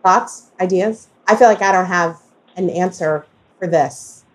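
A woman with a slightly low voice hosts an informal talk. She speaks in a conversational, emphatic way, often doubling back on a phrase to drive it home. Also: a little slow, rough recording. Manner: emphatic, repeats phrases for stress. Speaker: podcast host. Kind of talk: casual conversational discussion.

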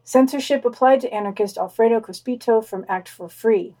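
A woman's voice: on the high side, a little fast, mellow, poor audio. A woman co-hosting a podcast, reading short scripted news items with brief casual comments.

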